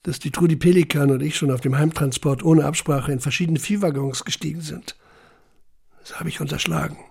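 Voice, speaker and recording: Haunted young deportee, husky, creaky, introspective, deliberate radio play monologue. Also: male voice, a little high, darkish tone. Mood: introspective, wounded. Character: young deported man, haunted, quietly hurt, recounting camp life. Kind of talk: literary radio drama monologue.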